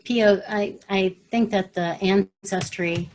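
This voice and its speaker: A woman's voice: mid-range voice, steady tone, slow, a little mellow, a little hiss or hum, low-quality recording. A woman presenting an online study webinar, reading passages steadily and moderating the discussion. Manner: reads passages steadily, then moderates the call.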